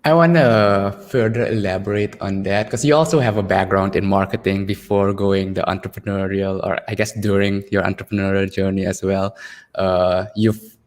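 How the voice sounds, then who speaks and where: A man interviewing conversationally as a podcast host, deliberately building long, roundabout questions, recorded with reverb. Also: deep voice, fairly even intonation. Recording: reverberant room with long echo.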